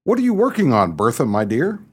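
A man playing an exasperated, wry small-town husband in a stagey comedic radio play, animated and sardonic. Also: medium pitch, brisk, slightly rough.

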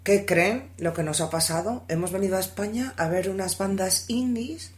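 A woman's voice: mid-range voice, normal speed, close to an everyday voice. A woman with a Spanish accent co-hosting a casual music podcast, chatty and playful. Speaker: podcast co-host. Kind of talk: casual radio chat, playing another show's host.

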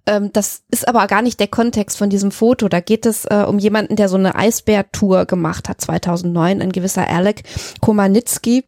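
A woman's voice: mid-range voice, steady tone, brisk, hardly any pauses, a little mellow, no echo, clean studio sound. A woman explaining things in casual chat as a podcast co-host.

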